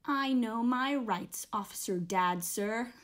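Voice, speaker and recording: A woman's voice: on the high side, takes it slowly. Woman doing a cheeky dramatic teen girl, kinda dreamy and moody, comic fandub style. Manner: cheeky, dramatic, musing to herself. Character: sarcastic, dramatic thirteen-year-old girl, daydreamy and moody.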